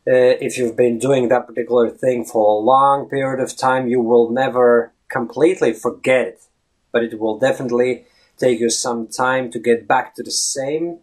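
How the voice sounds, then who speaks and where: A man's voice: medium pitch, darkish tone. A man in his thirties with a Latvian accent, a native Latvian speaker giving casual advice on a video blog, chatty and often restarting words, recorded by a webcam in a small indoor room. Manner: chatty, self-correcting, stretches words for emphasis. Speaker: English fluency blogger. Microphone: webcam at head-and-shoulders framing.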